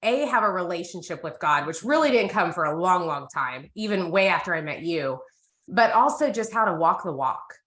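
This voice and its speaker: Female co-host, 30s, American accent, bright voice, candid conversational testimony, echoey room. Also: lowish voice, animated, normal speed. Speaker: co-host of a women's Bible reading group. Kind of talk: candid, casual personal testimony.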